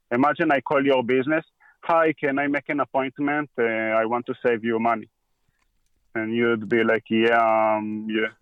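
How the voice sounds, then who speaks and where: Man with an Israeli accent, halting. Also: mid-range voice, no echo, highs cut off. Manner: halting, with frequent pauses and restarts. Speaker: guest. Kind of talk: casual conversation.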